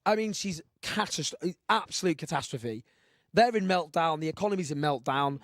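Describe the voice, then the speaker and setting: Husky guy hosting a live show, rambly and self-mocking, a bit higher voice, dry sound. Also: lively intonation, normal speed, dry recording. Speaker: host.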